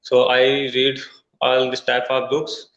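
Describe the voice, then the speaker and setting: A man from India with an Indian English accent, a Hindi native speaker learning English, talking haltingly in an online tutoring conversation over a video call. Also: medium pitch, monotone, fairly bright tone. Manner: halting, searching for words with many uh fillers. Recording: echoey, dull video-call audio.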